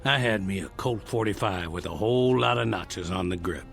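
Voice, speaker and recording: An actor playing a ruthless, bitter Old West outlaw with a folksy, contemptuous drawl in an old television drama. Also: male voice, lowish voice, moderate pace, gravelly, fairly bright tone. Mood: bitter, scornful. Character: ruthless, bitter 1880s western outlaw, hardened and menacing.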